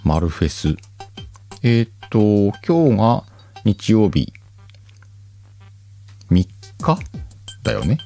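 A deep-voiced man hosting a casual solo show and reading out listener letters. His delivery swings up and down a lot, loose and chatty, with frequent laughs and small slips. Soft music plays faintly behind him. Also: slow, long pauses, dry recording. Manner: relaxed, chatty, laughs often, stumbles over words.

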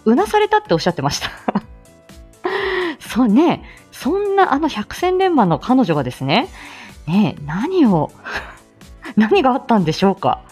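A woman's voice: on the high side, a little mellow, husky. A woman hosting a live audio stream with casual announcements in a playful, giggly, polite manner.

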